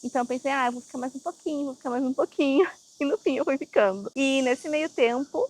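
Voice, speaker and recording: Brazilian woman vlogger, young, high-pitched, relaxed storyteller. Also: quick pace. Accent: Brazilian Portuguese. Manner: chatty, laughs often. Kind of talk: casual storytelling.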